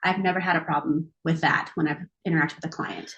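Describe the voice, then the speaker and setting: A woman's voice: low voice, fairly even intonation, fast talker, fairly bright tone, a little hiss or hum, low-quality recording. A woman who supervises counselors, hosting a panel discussion in an upbeat, conversational way.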